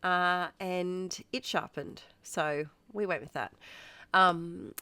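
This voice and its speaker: Low-voiced Australian woman, congested and stuffy, chatty rambling voiceover host, dry close recording. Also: steady tone, slow, pushed and bright, smooth, no echo. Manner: chatty, rambling, self-deprecating, laughs often. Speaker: art channel host. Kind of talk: casual voiceover narrating an art process.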